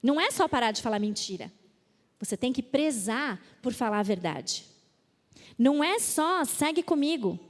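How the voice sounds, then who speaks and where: A woman's voice: a little high, expressive, moderate pace. A Brazilian woman speaking at a women's church conference, reading scripture aloud with emphasis and playfully mimicking excuses. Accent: Brazilian Portuguese. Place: church auditorium. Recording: reverberant church hall.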